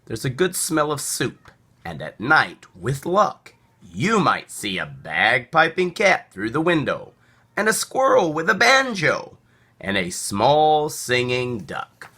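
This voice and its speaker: A man narrates a children's picture book with a bright, lively voice. He reads slowly and deliberately, with theatrical swings of emphasis for young listeners. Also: mid-range voice, husky. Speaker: storybook reader. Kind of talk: expressive children's read-aloud narration.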